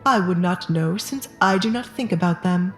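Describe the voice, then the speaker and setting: A woman's voice: on the low side, moderate pace, soft background music, close to an everyday voice. A woman as a grumbling husband, roomy echo. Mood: irritable, dismissive. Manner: grumbling, dismissive. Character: weary, irritable middle-aged husband, secretive schemer. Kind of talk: audio drama dialogue.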